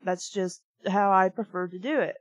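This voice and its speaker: A woman's voice: medium pitch, fairly even intonation, moderate pace, no echo, dull audio. A young adult woman reading aloud and commenting casually, in a blunt, opinionated way. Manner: blunt, opinionated, swears freely. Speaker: host reading a children's book aloud with commentary.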